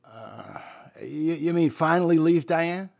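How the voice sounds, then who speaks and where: An actor playing a smug, evasive, adulterous CIA agent in a radio play, stammering at times. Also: male voice, on the high side, expressive, slow, vocal fry, darkish tone, exaggerated voice. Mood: nervous, evasive. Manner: flirtatious, hesitant and stammering. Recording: radio drama with music underneath.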